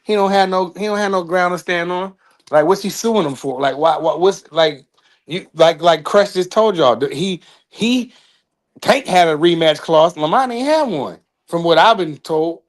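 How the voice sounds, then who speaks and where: A man's voice: sits high, animated, moderate pace. A man co-hosting a casual boxing talk show, speaking loosely and rambling. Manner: loose, rambling, trails off with laughs. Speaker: co-host. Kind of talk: casual sports chat.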